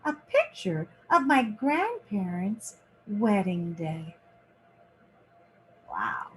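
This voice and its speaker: Slow-paced woman reading a picture book. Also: mid-range voice, big pitch swings, a bit bright, a little hiss or hum, low-quality recording. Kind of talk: children's picture book read-aloud.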